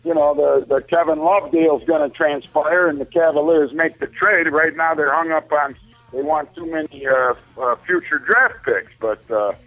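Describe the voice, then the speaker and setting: A man's voice: on the high side, normal speed, vocal fry. A man calling in to a sports talk show by telephone, giving casual fan opinions and repeating phrases as he forms his points. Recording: narrow, tinny phone line.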